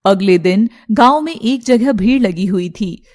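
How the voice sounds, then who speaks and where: A woman's voice: mid-range voice, lively intonation, a little slow, darkish tone, a little hiss or hum. A woman giving scripted narration for a cartoon story.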